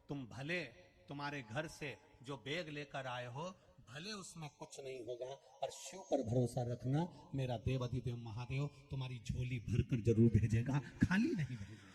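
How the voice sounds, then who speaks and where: A man's voice: a little high, a bit bright, long reverb tail. A man preaching a devotional katha sermon, telling stories, repeating phrases and singing lines. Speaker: Shiva Purana storyteller preacher. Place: large outdoor religious gathering.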